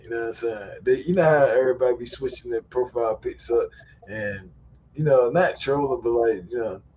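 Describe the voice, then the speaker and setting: A man's voice: mid-range voice, brisk, darkish tone, dull audio. A man hosting a casual online voice chat, slangy and loose, swearing often and laughing a lot. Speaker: host. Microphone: online call mic.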